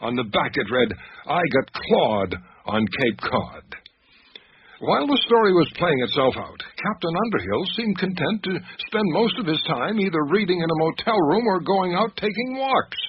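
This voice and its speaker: An actor playing an anxious, literate male doctor who tells an eerie mystery in a radio drama, with measured, expressive delivery. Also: a little high. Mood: wry, measured. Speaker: narrator and lead character. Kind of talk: first-person radio drama narration and dialogue. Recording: noisy, dull radio drama recording.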